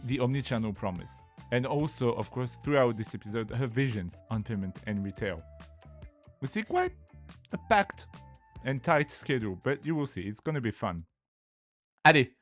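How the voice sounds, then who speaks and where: A man with a French accent hosting a podcast, reading a playful scripted intro and then interviewing a guest, with lively jumps in pitch. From France. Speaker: podcast host.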